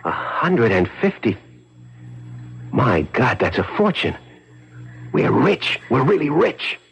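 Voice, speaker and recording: A man's voice: mid-range voice, very animated, slow, gravelly, husky, full character voice. An actor playing a sly, selfish small-time crook in a radio drama, telling his story in a wry, hard-boiled way. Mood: tense, bossy. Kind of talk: 1970s radio drama acting with first-person narration. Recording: dull 1970s radio broadcast with music behind.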